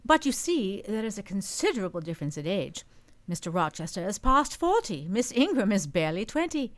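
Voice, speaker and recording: A woman's voice: medium pitch, sing-song, fairly quick, lightly acted. Lady doing motherly gossipy older housekeeper, very up and down voice, genteel, radio play.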